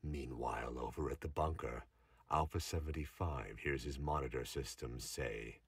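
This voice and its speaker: A man's voice: very deep voice, normal speed. A man narrating a scripted audio drama, recorded at some distance from the microphone with noise behind him. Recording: distant mic with background noise.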